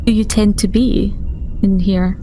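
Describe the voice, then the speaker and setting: A woman playing a formal, newly promoted starship doctor in a tabletop game, polite and measured. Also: medium pitch, steady tone, mellow, faint music behind, lightly acted. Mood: courteous, formal.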